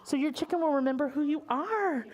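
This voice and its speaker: A woman hosting a story time for children at a library, talking in an expressive, exclamatory way straight to her young audience. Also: high voice, fairly quick. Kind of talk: enthusiastic presentation to children.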